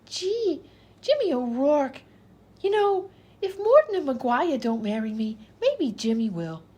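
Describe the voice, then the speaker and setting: A woman's voice: high-pitched, very animated, takes it slowly, warm tone, heavily acted. A woman playing a dim-witted, chattering vaudeville patient who rambles in folksy, ungrammatical speech in a radio comedy. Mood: chatty, oblivious. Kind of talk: old-time radio comedy acting.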